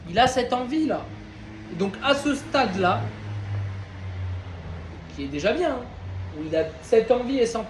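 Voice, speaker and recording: A man with a notably high voice leads an informal Torah class, speaking conversationally and turning to his listeners with frequent questions. The recording has a roomy echo from the study hall and a faint bed of ambient noise behind him. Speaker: Torah teacher.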